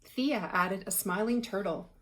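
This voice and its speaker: A woman's voice: medium pitch, measured pace, fairly bright tone. A woman reading aloud and explaining things to children in a conversational way, like a teacher. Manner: conversational, asks the listener questions while reading.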